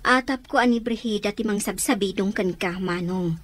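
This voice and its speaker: A woman's voice: medium pitch, moderate pace, lightly acted. A woman acting a concerned younger sister in a radio drama, earnest and urging.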